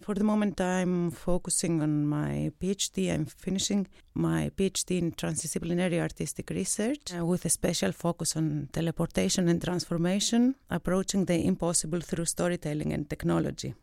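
A woman's voice: fairly low pitch, fairly even intonation, fairly quick, vocal fry, few pauses, mellow, no room sound. A woman from Greece in her thirties, a research associate and PhD candidate, giving thoughtful interview answers in a studio and searching for her words now and then. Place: recording studio.

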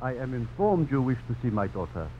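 A man's voice: mid-range voice, sing-song, fairly quick, lightly acted. An actor playing a courtly older governor and fond father, formal and genial, in a 1950s radio drama. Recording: muffled old radio broadcast, faint hum.

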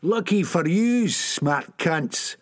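A desperate young Scottish addict, shrill and strained, snarling and swearing at everyone around him, as a man narrating puts him on. His voice jumps high above the narrator's own and swings wildly up and down in pitch. He is recorded close and dry. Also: big pitch swings, normal speed, no room sound. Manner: snarling, cursing constantly. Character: frantic young male junkie, wheedling and aggressive.

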